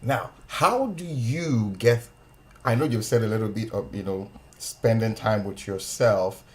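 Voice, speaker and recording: A man's voice: on the low side, a little slow, a little mellow. A man hosting an interview, asking questions and encouraging his guest with short replies. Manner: encouraging, frequent short backchannels. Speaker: interviewer host.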